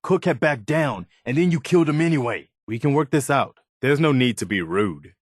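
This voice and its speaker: A man's voice: medium pitch, lively intonation, moderate pace, dry recording, clean studio sound, natural voice. A man voicing an earnest, dutiful militia officer and loyal second-in-command in a video game, sincere and plain-spoken. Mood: indignant. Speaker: video game companion character voice actor. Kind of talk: scripted game dialogue.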